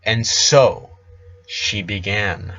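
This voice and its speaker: A man narrating a scripted story as its reader, deliberately, in short separated phrases. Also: lowish voice, pushed and bright, dull audio.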